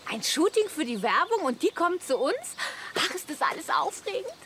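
An actress playing a naive, vain and excitable neighbour woman in a German radio play, chatty and gushing. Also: very high voice, moderate pace, a little hiss or hum, exaggerated voice. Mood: excited. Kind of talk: German audio drama dialogue.

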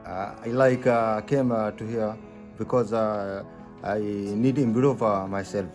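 A man's voice: fairly low pitch, fairly even intonation, a little slow, a little mellow, faint music behind. A young man speaking hesitant, broken non-native English in a testimonial to camera. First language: not English. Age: young adult. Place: plain indoor room.

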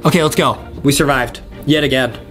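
A young man hosting a gaming video with excited, repetitive commentary, talking straight to his viewers. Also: mid-range voice, brisk. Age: young adult. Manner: animated, repetitive, addressing viewers. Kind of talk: excited let's-play commentary. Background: game music.

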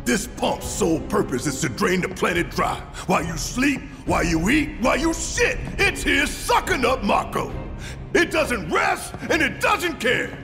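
An actor playing a burly, hot-tempered militant leader in a video game, gravelly, emphatic and confrontational. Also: male voice, extremely high pitch, sing-song, a little slow, full character voice. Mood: angry preaching. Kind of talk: video game cutscene acting. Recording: reverberant hall, game music behind.

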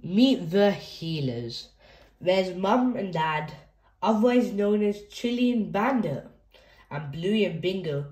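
A woman's voice: mid-range voice, animated, slow pace, darkish tone, roomy sound. A woman hosting a children's read-aloud, reading a picture book expressively for young listeners.

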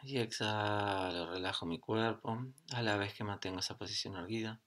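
A middle-aged man leads a guided meditation in a fairly low voice. He speaks calmly, in short phrases, and leaves long pauses between them. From Argentina. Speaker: yoga and meditation teacher.

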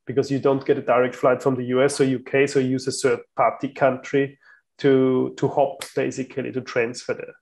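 A man's voice: mid-range voice, vocal fry, darkish tone. A man from Austria chatting as a guest over a video call, speaking hesitantly with many restarts. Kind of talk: casual chat.

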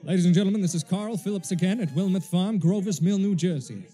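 Earnest old radio newsman played by a guy, kinda high, really mellow, formal, excitable, radio play style. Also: fast, natural voice. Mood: flustered, awed. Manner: formal announcer delivery, stammering when flustered. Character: earnest, excitable male radio news reporter. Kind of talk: 1930s radio drama acting, live news commentary.

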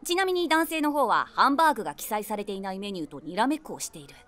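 Animated, wry middle-aged woman narrator played by an actress. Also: medium pitch, rapid-fire, close to an everyday voice. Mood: amused commentary. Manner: wry, self-deprecating, conversational. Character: wry 46-year-old woman, eavesdropping daydreamer. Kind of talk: first-person audio drama narration.

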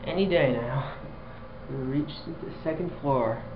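A man's voice: mid-range voice, measured pace. A man filming an elevator ride, giving dry, exasperated running commentary into a handheld camera inside a small elevator car. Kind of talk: casual handheld narration. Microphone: handheld camera mic. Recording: dull lo-fi camera audio, faint hum.

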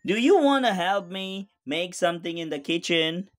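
A woman voicing a gentle, encouraging mother tiger in a storybook, coaxing and reassuring. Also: lowish voice, expressive, moderate pace, close to an everyday voice.

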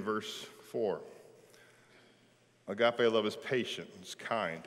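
A middle-aged pastor, preaching an animated, folksy sermon from a pulpit in a large church sanctuary. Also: male voice, medium pitch. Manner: animated preaching, folksy with "y'all", gestures while speaking. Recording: long church reverb.

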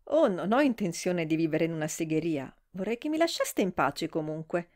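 A woman's voice: fairly low pitch, sing-song, normal speed, darkish tone. A woman voicing an uneasy young woman who gives short, evasive replies.

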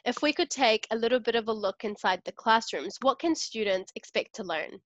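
A woman's voice: fairly high pitch, animated, a little fast, fairly bright tone, no room sound. A young woman interviewing for a university, asking polished, upbeat questions over a video call. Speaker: interviewer from student liaison. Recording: dull lo-fi call audio.

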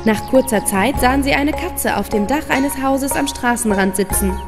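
A woman's voice: sits high, normal speed. A woman narrating a scripted fairy tale for children as the storyteller. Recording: long reverb under prominent music.

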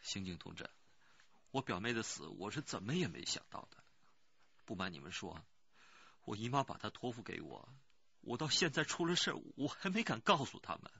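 Anxious, husky village man. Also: fairly low pitch, big pitch swings, normal speed, sharp tone, dry recording, highs cut off, exaggerated voice. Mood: grieving, apologetic. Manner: earnest, defensive, hesitant. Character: anxious rural working man, murdered girl's cousin, pleading earnestly. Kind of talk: radio crime drama acting.

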